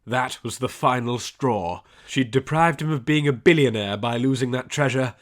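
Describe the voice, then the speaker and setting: Guy reading an adventure story out loud, dramatic audiobook narration, middle pitch. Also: normal speed.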